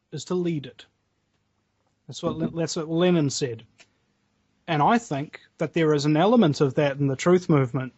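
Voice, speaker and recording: A man's voice: fairly high pitch, a little mellow, breathy edge, dry recording, highs cut off. A man with a New Zealand accent telling stories as a podcast guest, heated and swearing freely. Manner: heated, profane storytelling. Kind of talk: casual podcast interview.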